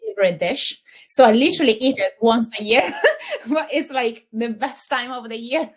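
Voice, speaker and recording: A woman's voice: fairly high pitch, normal speed. A young woman, a model and content creator, chatting easily as an interview guest, laughing often and using lots of fillers. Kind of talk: casual interview chat.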